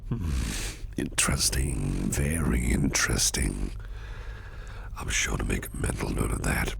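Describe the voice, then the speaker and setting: Sinister businessman boss, extremely deep, slow breathy near-whisper, teasing menace, flirtatious, close-mic ASMR roleplay. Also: lively intonation, noisy background, exaggerated voice. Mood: probing, sly.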